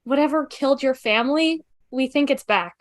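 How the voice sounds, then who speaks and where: A nervous, wisecracking young woman, played by a woman at an amateur table read. She rambles eagerly in a high voice. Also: moderate pace, natural voice. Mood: earnest.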